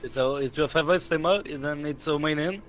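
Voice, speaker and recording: Bright, high-pitched, insistent male gamer. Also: a little fast, highs cut off, faint music behind. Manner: repetitive, insistent. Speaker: player on game voice chat. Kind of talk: casual argumentative banter.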